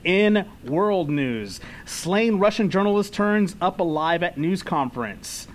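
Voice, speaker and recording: A man from Los Angeles reads the day's headlines on a casual podcast, his voice sitting a little high. He keeps it playful, laughing and stumbling over words as he goes. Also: animated, slow. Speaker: podcast host reading news. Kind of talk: casual news reading and banter.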